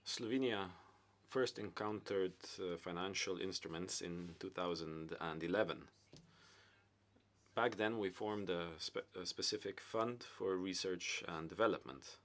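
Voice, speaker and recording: A man giving simultaneous English interpretation at a conference panel, with frequent hesitant pauses and fillers. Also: low voice, a bit bright.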